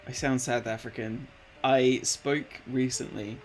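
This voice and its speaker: A man's voice: mid-range voice, steady tone, a little slow, faint music behind, rough recording. A man in his late twenties chatting casually on a live stream, rambling with lots of fillers and easily delighted. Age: late 20s. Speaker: art streamer.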